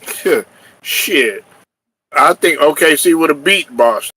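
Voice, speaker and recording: A man in casual sports chat with a bright, forceful voice that sits a little high. He talks in an animated, trash-talking way, breaking into laughs and hollers. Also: slow pace, slightly rough, no echo, poor audio. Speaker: podcast host.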